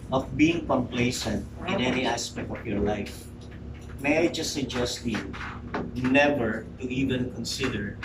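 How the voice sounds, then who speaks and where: A man in his forties giving a prepared speech to a live audience, emphatic and rhetorical, talking straight to the crowd. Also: medium pitch. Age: 40s. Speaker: public speaker. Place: meeting room with audience. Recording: roomy hall, mic at a distance, noisy.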